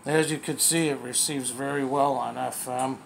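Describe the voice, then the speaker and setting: A man's voice: medium pitch, fairly even intonation, normal speed, gravelly, over loud music. A man giving a casual, hands-on product demonstration in a plainspoken, folksy way, as a reviewer talking while he works the controls. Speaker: radio reviewer, presenter.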